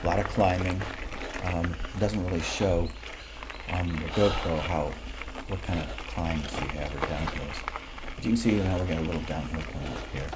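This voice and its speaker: A man in his sixties giving relaxed, rambling commentary over his mountain bike ride footage, with plenty of fillers. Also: low voice, normal speed, very noisy. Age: 60s.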